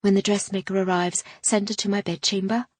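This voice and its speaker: A woman reading an audiobook, voicing a proud, tired young noblewoman who speaks in terse, quiet lines. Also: medium pitch, level tone, a little fast, no echo, studio quality, lightly acted. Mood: curt.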